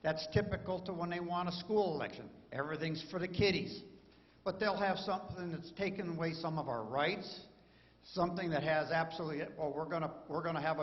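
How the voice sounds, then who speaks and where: A man in the audience at a town hall meeting, speaking loudly and indignantly with rhetorical questions. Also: a little high. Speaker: audience member asking a question. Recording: muffled, hall reverb.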